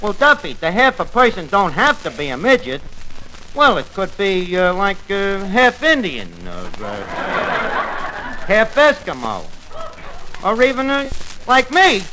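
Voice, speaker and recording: On a noisy old radio recording, a scheming New tavern manager in a 1940s comedy. His high voice swings widely as he bluffs and stumbles through slangy, ungrammatical patter. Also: wildly swooping pitch, measured pace, full character voice. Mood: bluffing. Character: scheming, malaprop-prone male tavern manager.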